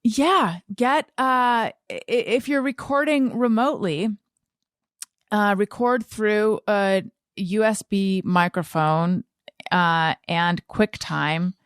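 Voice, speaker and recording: A woman's voice: mid-range voice, slow pace, smooth, no room sound, studio quality. A woman hosting a podcast, chatting casually in a candid, blunt way with self-deprecating humour. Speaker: podcast host. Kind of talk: casual conversational podcast chat.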